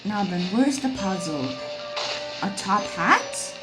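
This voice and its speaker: A woman's voice: mid-range voice, expressive, slow, rough recording. A young woman doing casual game streamer commentary, with simple, exclamatory reactions. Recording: loud game music behind the voice.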